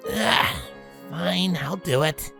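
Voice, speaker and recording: A woman's voice: fairly high pitch, sing-song, slow pace, a bit bright, soft background music, pitched above the performer's own voice, exaggerated voice. A woman voicing a brash but sheepish young female pegasus athlete, hesitant and protesting, in a story. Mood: resigned.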